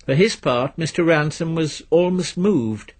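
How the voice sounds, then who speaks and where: A man reading a literary story as narrator in a measured, dry storytelling manner. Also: on the high side. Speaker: narrator, reader.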